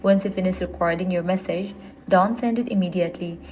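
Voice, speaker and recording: A woman's voice: on the low side, steady tone, fairly quick, darkish tone, a little hiss or hum. A woman reading a scripted how-to guide in an instructional, step-by-step manner. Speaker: tutorial narrator.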